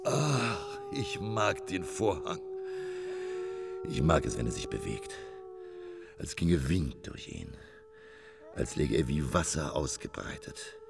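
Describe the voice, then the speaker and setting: A weary old man of the theatre in a radio play. His voice is low and gravelly, and he rambles theatrically. The delivery is slow and halting, swinging widely in pitch. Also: huge pitch swings, heavily acted. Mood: wistful, rambling. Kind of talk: radio drama acting.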